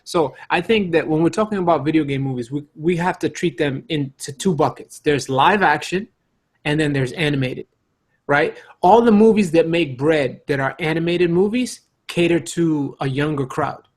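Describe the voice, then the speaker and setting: A man's voice: fairly high pitch, normal speed, breathy edge. A man on a casual podcast panel, jumping in to make his case and checking that the others follow. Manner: interjecting, checks for agreement. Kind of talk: casual debate.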